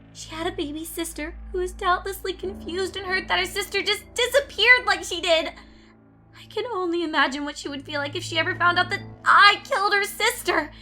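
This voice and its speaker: A woman voicing a guilt-ridden, paranoid young pony student, reading her confessional letters in an anguished, highly emotional way as she unravels. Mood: anguished, crying. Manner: anguished, highly emotional, swinging from hushed to screaming.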